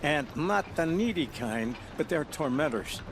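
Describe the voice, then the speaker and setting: An actor playing a wry, grudging ally who gives directions and warnings in a video game. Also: male voice, a little high, lively intonation, unhurried, noisy background. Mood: grudging, cautioning. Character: grudging, cautionary male ally giving directions. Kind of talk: cinematic video game dialogue.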